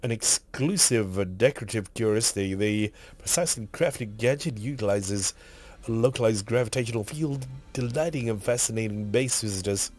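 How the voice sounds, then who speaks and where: Husky, coughing man streaming a game. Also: lowish voice, normal speed, hardly any pauses, a little mellow. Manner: rambling, muttering, reads in-game text aloud. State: hoarse with a cough. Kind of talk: casual streaming commentary.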